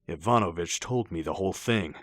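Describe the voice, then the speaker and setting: A man acting in a radio drama as a stern, loyal colonel, husky-voiced and terse. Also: sits low, a little fast, fairly bright tone, no room sound, studio quality. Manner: firm, terse.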